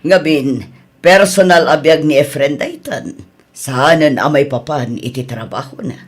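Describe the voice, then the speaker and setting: A woman's voice: sits low, unhurried, husky. An actress with a Filipino accent playing a polite but firm female boss in a radio drama, apologetic as she refuses.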